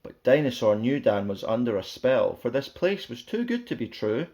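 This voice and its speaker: A man's voice: medium pitch, big pitch swings, moderate pace, a little mellow. A man reading a rhyming children's picture book aloud as a storyteller, in a playful, theatrical, sing-song way.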